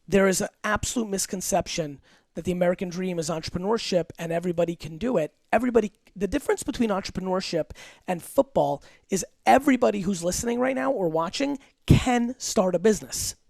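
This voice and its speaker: A man as a guest on an interview podcast, talking about business in a blunt, emphatic, rapid-fire way with some profanity. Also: sits high, creaky, darkish tone, no echo. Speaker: entrepreneur guest.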